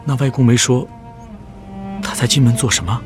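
A man's voice: medium pitch, normal speed, natural voice. A man playing an attentive, respectful grandson who narrates his family's past in a scripted radio drama. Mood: gentle, curious. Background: cello and string music.

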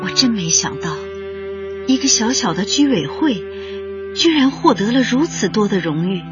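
An actress plays an idealistic young woman telling her story in a radio drama. She speaks at a middling pitch in reflective, scripted phrases. Loud instrumental music runs behind the slightly dull recording. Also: sing-song, moderate pace, natural voice. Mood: moved, reflective. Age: young adult. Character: young idealistic female community worker narrating. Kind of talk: sentimental scripted first-person narration.

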